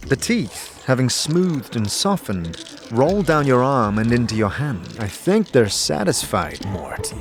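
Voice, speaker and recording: A man's voice: mid-range voice, very animated, slow pace, noisy background. A man performing dramatic second-person narration and dry, teasing adventurer dialogue in a fantasy audio drama. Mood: amused, encouraging. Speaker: narrator and game character.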